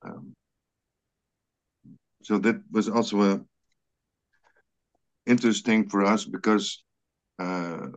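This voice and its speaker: A Frisian man leading a scripture study on a video call, speaking English with many halting restarts and repeated words. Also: on the low side, fairly even intonation. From Netherlands. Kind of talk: online religious study group discussion.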